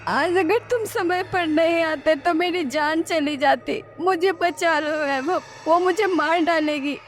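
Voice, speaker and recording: A terrified young wife, played by a woman in a horror story. Her voice is very high, tearful and desperate as she pleads. Light music plays behind her. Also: slow. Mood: tearful, frightened. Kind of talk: Hindi horror storybook voice acting.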